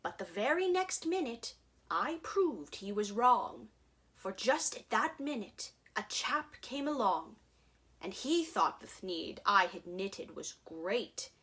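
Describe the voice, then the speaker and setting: A woman performer reading a rhyming storybook aloud in an expressive, dramatic, theatrical way. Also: medium pitch, slow pace.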